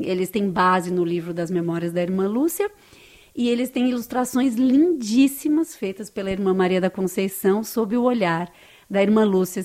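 A woman's voice: mid-range voice, darkish tone. Enthusiastic Brazilian woman guest. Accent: Brazilian Portuguese. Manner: earnest, enthusiastic, tag questions. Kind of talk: interview answers.

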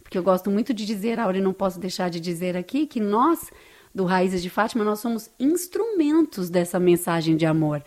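A woman's voice: medium pitch, lively intonation, brisk, a little mellow. Brazilian woman, interview guest, earnest and eager, mid-range voice. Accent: Brazilian Portuguese. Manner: earnest, enthusiastic, tag questions. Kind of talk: interview answers.

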